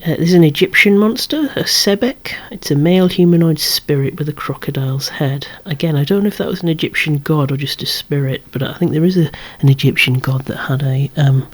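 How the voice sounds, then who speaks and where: Hushed, breathy ASMR whisperer. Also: mid-range voice. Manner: hushed, unhurried, musing with wry asides. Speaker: toy collector presenting a collection. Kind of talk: whispered ASMR show-and-tell.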